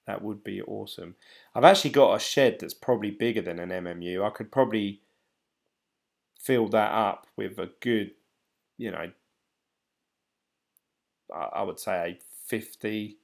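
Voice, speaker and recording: A British man in his thirties, a channel host, chatting casually and hesitantly to viewers on a late-night live stream, tired and a little croaky. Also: fairly low pitch. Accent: British English. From United Kingdom. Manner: rambling, hesitant, many ums and restarts. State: tired, voice getting croaky and dry. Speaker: crypto channel host reading live chat.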